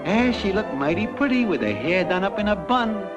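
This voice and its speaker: A man's voice: high-pitched, sing-song, normal speed. An American actor playing a brash young newcomer to the afterlife, breezily telling his life story in slang. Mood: breezy. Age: young adult. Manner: breezy, wisecracking, packed with idioms. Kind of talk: classic cartoon comic narration. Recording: dull lo-fi cartoon soundtrack, loud music.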